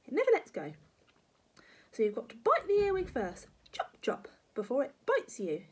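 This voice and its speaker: A woman's voice: high-pitched, huge pitch swings, normal speed, slightly rough, voice pushed up, heavily acted. A woman voicing a tiny, wicked old grandmother from a children's storybook, bossy, snappy and scolding. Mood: gleefully nasty.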